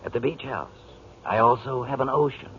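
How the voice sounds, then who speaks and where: An American actor playing a suave, idle playboy with a flirtatious manner in 1940s radio style. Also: male voice, medium pitch, normal speed, pushed and bright. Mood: teasing, persuasive. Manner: smooth-talking, flirtatious. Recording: dull, hissy 1940s radio recording.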